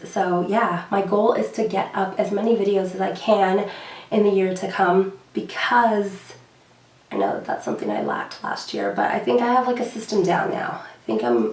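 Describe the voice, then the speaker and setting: A young woman beauty vlogger with a hoarse, recovering voice, chatting casually to camera with many fillers and repeated words. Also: medium pitch, a little mellow, roomy sound, recorded from a distance, low-quality recording.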